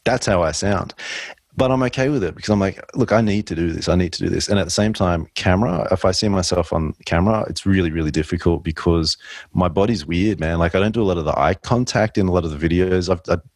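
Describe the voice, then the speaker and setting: A man with a very deep, low voice hosts a casual podcast conversation. He muses aloud, restarting phrases as his thoughts form. The sound is dry and close, with no room around it. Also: quick pace, no echo. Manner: thoughtful, rambling with frequent restarts. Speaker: podcast host.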